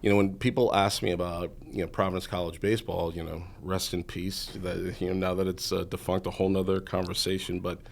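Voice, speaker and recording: A man in his forties with a very low voice, roughened by a gravelly fry. He talks loosely and keeps circling back with "you know" as he recalls the past. The tone is relaxed and conversational, a guest talking at ease. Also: a little fast, few pauses. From Massachusetts. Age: 40s. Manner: rambling, heavy on "you know" fillers. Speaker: guest, entrepreneur. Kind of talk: casual interview storytelling.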